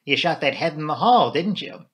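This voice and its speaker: A man reads as a lively young Englishwoman in an audiobook story, lifting the pitch a little. The delivery is light and playful, with an earnest edge. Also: moderate pace. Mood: eager.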